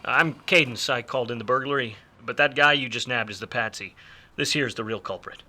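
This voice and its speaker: An actor playing a hardboiled, wisecracking private detective in a 1940s film noir radio play, wry and slangy. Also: male voice, mid-range voice, fairly quick, sharp tone. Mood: sardonic, insistent.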